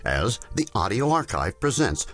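A man's voice: on the low side, very animated, moderate pace, fairly bright tone, soft background music. A man narrating a scripted documentary introduction as the presenter of an archive programme.

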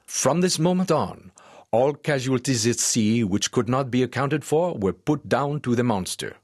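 An actor playing a French professor of natural history, narrating a dramatized audiobook in a formal, measured way. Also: male voice, mid-range voice, lively intonation, dry recording, clean studio sound. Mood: measured, intrigued.

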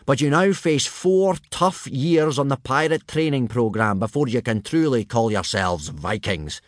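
A man voicing a loud, gruff male Viking trainer who yells his orders. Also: lively intonation, measured pace, no room sound, clean studio sound, natural voice. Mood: booming. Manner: booming, yelling.

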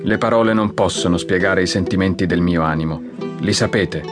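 An actor playing a devoted, respectful secretary to a general, measured and deferential, in an audiobook drama. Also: male voice, sits low, lightly acted. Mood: solemn, reading. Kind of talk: audiobook drama, reading aloud formally. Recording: guitar music under the voice.

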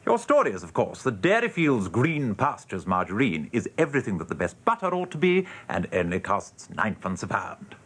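A man's voice: fairly low pitch, wildly swooping pitch, moderate pace, hardly any pauses, fairly bright tone, slightly rough, exaggerated voice. An actor playing a world-weary, sardonic English advertising man with a dry, mock-pompous manner in a radio drama. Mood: brisk, jaded. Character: world-weary, sardonic male advertising copywriter showing newcomer around.

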